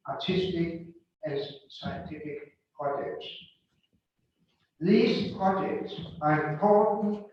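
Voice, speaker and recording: An older man with a Danish accent, Danish by birth, giving a lecture in English that he reads carefully from a manuscript. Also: fairly high pitch. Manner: slow and careful, reading closely from a manuscript. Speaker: guest lecturer. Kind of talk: reading a prepared lecture. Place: lecture hall in an art museum. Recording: distant, echoey hall, dull sound.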